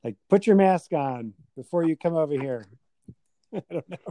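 A man's voice: a little high, expressive, moderate pace, mellow, no echo. A man hosting a casual podcast chat with a friend, tired after a long day and rambling with many fillers.

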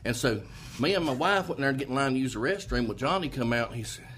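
A man's voice: medium pitch, quick pace, slight background noise. A man, guest on a casual interview, telling a joking story with lots of laughter. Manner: animated, laughing, lively storyteller. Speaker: band member guest.

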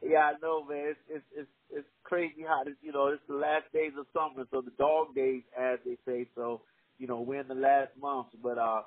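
A young man hosting a podcast, reading sponsor spots with energetic hype and chatting casually with his guest. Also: normal speed, husky, dry recording, phone-quality sound. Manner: energetic, hype-driven pitch with repeated "all right". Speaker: podcast host. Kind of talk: casual podcast ad reads and interview.

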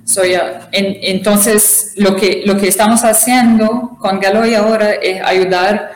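A woman guest answers interview questions haltingly, filling her pauses with "ah" and starting her phrases over. Spanish is not her first language, and her voice rings in an echoey room. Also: medium pitch, steady tone, slight background noise. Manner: halting, many fillers and restarts. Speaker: interview guest, conference organizer.

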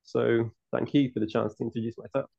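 Gravelly man, dull sound. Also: medium pitch, flat delivery, fairly quick, warm tone, no room sound. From Hungary. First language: Hungarian. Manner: earnest, long winding answers with many restarts. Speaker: podcast guest, football writer. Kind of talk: casual interview answers.

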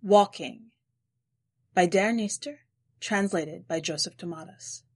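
A woman reading scripted literary narration in a measured, deliberate way. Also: on the low side. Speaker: podcast editor and story reader.

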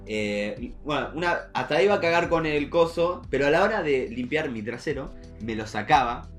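A young man with a Rioplatense Argentine accent co-hosts a casual podcast. His voice sits at an average male pitch, and he talks in a slangy, joking, animated way. Faint guitar music plays behind him. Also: normal speed. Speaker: podcast co-host.

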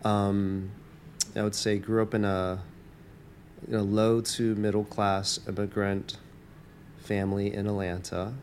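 A man's voice: fairly low pitch, little pitch movement, measured pace, a little mellow, slight background noise. A man born in Taiwan, a campus minister, talking reflectively in an interview with many fillers and restarts.

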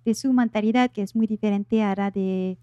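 A woman's voice: medium pitch, steady tone, moderate pace, dark tone, smooth, highs cut off. A woman from France with a French accent, a native French speaker chatting as an interview guest and searching for words in her second language. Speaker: podcast guest, life coach. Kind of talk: casual interview chat.

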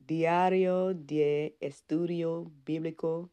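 A woman's voice: low voice, fairly even intonation, slow pace, warm tone, smooth. A woman hosting a casual faith-based livestream, chatting informally and devoutly with frequent praise and interjections. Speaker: podcast host.

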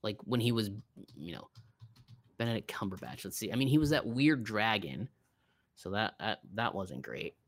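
A man co-hosting a podcast, chatting casually about films in an excitable, slangy way. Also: fairly low pitch, moderate pace, gravelly. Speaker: podcast co-host. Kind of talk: casual film chat.

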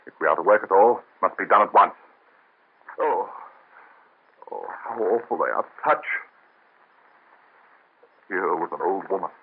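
A weary, squeamish assistant doctor speaks hesitantly in a very deep, husky voice. It comes through a tinny old radio recording. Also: male voice, moderate pace, long pauses. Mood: shuddering. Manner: hesitant, shuddering. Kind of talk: 1930s radio drama.

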